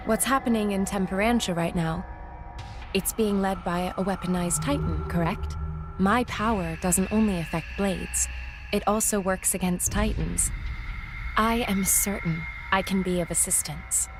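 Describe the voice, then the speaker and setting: A woman playing a gentle, serene, dutiful young woman in a video game, speaking politely and softly. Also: medium pitch, unhurried, music in the background, lightly acted. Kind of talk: video game cutscene acting.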